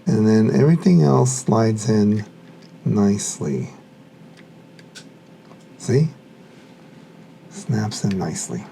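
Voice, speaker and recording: A man with a low, mellow, creaky voice gives casual hands-on commentary. He mutters to himself as he works, like someone figuring things out step by step. A faint noise sits behind him. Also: expressive, slow, long pauses. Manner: muttering to himself while working with his hands. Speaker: hobbyist reviewer.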